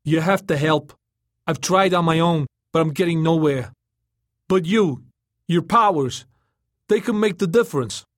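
A man voicing an earnest, insistent male superhero in an audiobook, loud and eager. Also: a little high, unhurried, pauses often, no echo, clean studio sound, voice pushed up, close to an everyday voice. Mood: pleading. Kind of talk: audiobook character acting.

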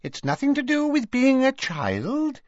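A man's voice: very high voice, wildly swooping pitch, unhurried, dry recording, dull audio, voice pushed up, heavily acted. A man voicing a fussy, fluttery middle-aged woman shopkeeper, anxious and motherly, in an audiobook story.

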